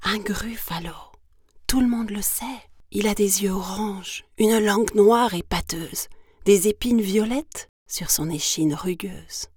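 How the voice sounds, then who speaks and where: A woman's voice: mid-range voice, a little slow, a little mellow, breathy edge, dry recording, clean studio sound. A small, clever mouse from a storybook voiced by a woman. It sounds bold, cheeky and sure of itself, teasing in a lifted voice. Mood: polite, sly.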